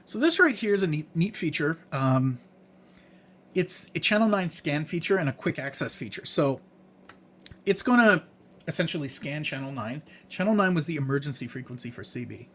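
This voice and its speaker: Higher-voiced man giving a casual gadget tour. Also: expressive, moderate pace, a bit bright. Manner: explanatory, informal, plain-spoken. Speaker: presenter of a gadget demonstration. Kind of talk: casual unscripted hands-on tutorial.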